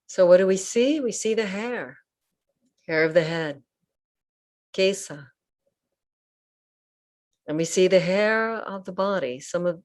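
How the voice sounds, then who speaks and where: A woman leading a guided meditation as a teacher, speaking gently in short, unhurried phrases with pauses. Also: fairly low pitch. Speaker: Buddhist nun and meditation teacher. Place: online group session over video call.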